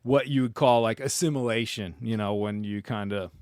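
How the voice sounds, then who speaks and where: Relaxed rambling podcast host man. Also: fairly low pitch, normal speed, no echo, clean studio sound. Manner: relaxed, rambling, lots of fillers. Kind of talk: casual conversation. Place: aboard a sailboat.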